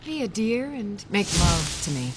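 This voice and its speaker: A woman's voice: medium pitch, very animated, normal speed, slight background noise, natural voice, rough recording. A woman playing a flirtatious, teasing witch companion in a fantasy video game. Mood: seductive. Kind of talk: video game character dialogue.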